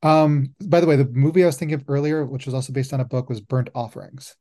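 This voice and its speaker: A man's voice: mid-range voice, fairly even intonation, quick pace, warm tone. A man hosting a podcast, musing aloud in a rambling way with many fillers during a casual roundtable chat. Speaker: podcast host.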